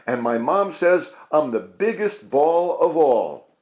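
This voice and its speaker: A man voicing a big, friendly, eager young softball character in a children's storybook, with a hearty, simple manner. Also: on the high side, very animated, slow pace, muffled recording, voice pushed up. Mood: friendly, welcoming.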